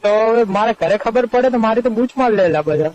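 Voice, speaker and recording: A man's voice: high-pitched, expressive, a little fast, a crowd in the background. A man playing a nervous, dismissive young lover who wants out, speaking colloquially in a staged phone-call drama. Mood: curt, worried. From India. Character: curt, nervous young lover washing his hands of her. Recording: dull lo-fi phone call recording.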